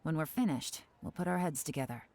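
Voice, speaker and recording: A woman voicing a shrewd, composed female investigator in a video game, measured and businesslike. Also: low voice, darkish tone. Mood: composed, assured.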